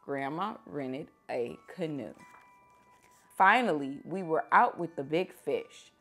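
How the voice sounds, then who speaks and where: A woman with a slightly low voice reads a children's story aloud. Her delivery is expressive and unhurried, with animated phrasing aimed at young listeners. Music plays behind her voice. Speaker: teacher reading children's books aloud.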